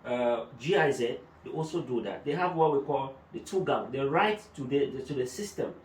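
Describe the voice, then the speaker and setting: A man's voice: mid-range voice, expressive, moderate pace, slight background noise. A man working as a migration adviser, explaining procedures step by step and using German terms. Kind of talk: explanatory talk-show discussion. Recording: echoey room, mic at a distance.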